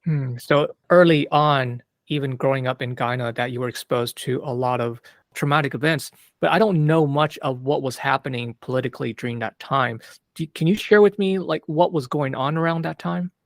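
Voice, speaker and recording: A man hosting a psychology podcast, reading a measured, earnest introduction and asking his guest questions. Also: medium pitch, no room sound, studio quality. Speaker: podcast host. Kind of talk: scripted intro then interview questions.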